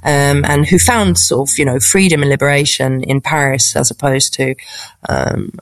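A woman's voice: a bass voice, fairly even intonation, moderate pace, dry recording. A woman with a posh English accent, a stand-up comedian chatting in an interview with frequent laughs and restarts. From England. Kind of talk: casual interview.

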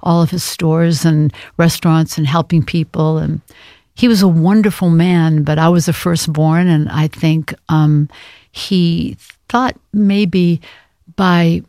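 An older woman from Maine, speaking as an interview guest, thoughtful and hesitant with many pauses. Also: sits low, fairly even intonation, dark tone, dry recording, clean studio sound. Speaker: guest, actress. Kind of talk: reflective interview talk.

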